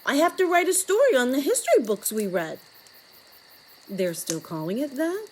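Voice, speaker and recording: A woman voicing an eager, excitable little boy in a children's storybook reading. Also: high-pitched, normal speed, voice pushed up. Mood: earnest, puzzled. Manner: eager, exclaiming.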